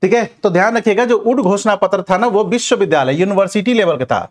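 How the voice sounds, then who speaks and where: Man, fairly high voice, coaching teacher, question-and-answer revision, instructive, repeated check phrases. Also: fast.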